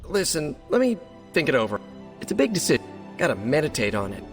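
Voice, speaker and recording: A man's voice: on the high side, huge pitch swings, fast talker, big-room sound, soft background music. A man playing a young American superhero in a game cutscene, chatty, jokey and self-deprecating, with nervous earnest rambling. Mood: playful, hesitant. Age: young adult. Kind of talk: video game cutscene acting.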